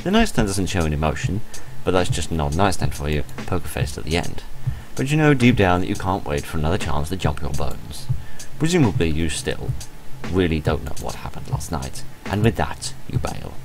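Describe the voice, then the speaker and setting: Deep-voiced man reading playfully over music. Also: sing-song, a little fast, hardly any pauses, rough recording. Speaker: host of a game playthrough channel. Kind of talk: reading a visual novel aloud with casual commentary. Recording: music behind the voice. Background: game music.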